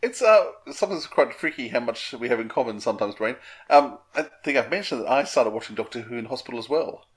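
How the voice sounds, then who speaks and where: A man's voice: medium pitch, animated, fast talker, hardly any pauses. A man, talking casually as a podcast co-host.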